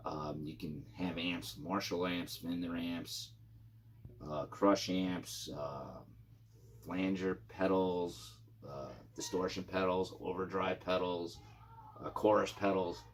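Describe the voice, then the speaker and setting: A man with a very low voice talks casually, like a host walking viewers through equipment. His delivery is informal and a bit halting. There is some faint noise behind him. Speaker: YouTube channel host. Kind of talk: casual gear overview for guitarists.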